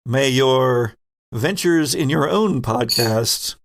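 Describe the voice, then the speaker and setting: A man's voice: medium pitch, expressive, slow, noisy background. A man hosting a podcast in casual round-table conversation. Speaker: podcast host.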